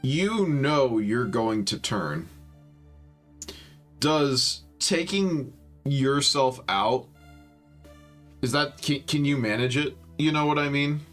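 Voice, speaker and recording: A man's voice: lowish voice, a bit bright. A man hosting a storytime game playthrough, reading narration aloud and chatting casually. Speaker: host reading a visual novel aloud.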